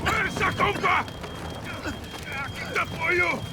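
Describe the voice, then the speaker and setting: An actor with a Mexican accent playing a forceful soldier in a game, calling out urgently to a comrade. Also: male voice, very high voice, a little slow. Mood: urgent, alarmed. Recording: very noisy action scene.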